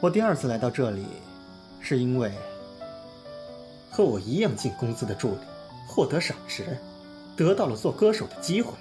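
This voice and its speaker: A man acting an earnest, expressive young pop star. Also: medium pitch, normal speed, long pauses, music in the background, lightly acted. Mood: reflective. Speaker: audio drama voice actor. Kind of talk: Chinese audio drama acting, heartfelt monologue and dialogue.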